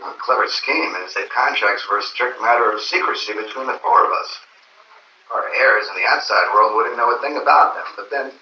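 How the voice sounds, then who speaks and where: A man's voice: medium pitch, fairly quick, sharp tone, slight background noise. A man playing a frightened middle-aged businessman, panicky and stammering, in a live old-time radio drama. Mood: shaken. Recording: roomy, distant live stage recording.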